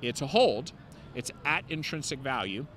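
A man in his fifties gives a street interview about investing, talking into a handheld mic. He sounds fluent and sure of himself, with frequent "um"s, and his voice sits in a middle register. Traffic noise from the city street comes through clearly. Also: mid-range voice, big pitch swings, moderate pace, a bit bright. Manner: fluent and confident, many "um" fillers. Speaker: investment newsletter founder, interview guest. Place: city sidewalk outdoors, traffic passing.

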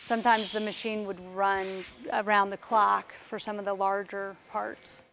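A woman's voice: mid-range voice, level tone, moderate pace, gravelly, a bit bright, slight background noise. Woman giving a workshop tour. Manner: explanatory, points out parts as she walks. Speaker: factory tour guide. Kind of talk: walking factory tour. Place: large aircraft workshop indoors.

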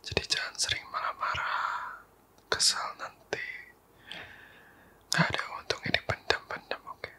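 A man whispering softly and intimately in a comforting boyfriend ASMR roleplay, coaxing and teasing with quiet laughs and stray English words. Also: a little slow, pauses often. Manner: mixes English words into casual talk.

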